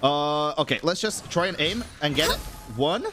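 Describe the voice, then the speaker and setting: A man doing casual live gaming commentary as a streamer, expressive, slangy and sweary. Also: a little high, normal speed, a little hiss or hum. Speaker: game streamer. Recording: game music behind the voice.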